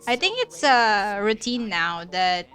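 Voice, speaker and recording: Bright, smooth-voiced woman reacting to a game. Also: mid-range voice, animated, takes it slowly. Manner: chatty, laughing at the plot. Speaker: gaming streamer. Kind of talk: casual commentary over gameplay. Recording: voice over game audio and music.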